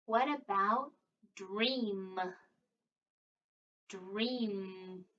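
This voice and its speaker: A woman's voice: medium pitch, very slow, pushed and bright. A woman speaks to young children in an encouraging, exaggerated teacherly way. She takes her time, leaving room for answers. The recording is a little muffled, with her voice at some distance from the microphone. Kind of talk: read-aloud and interactive lesson for young children. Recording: dull, distant microphone sound.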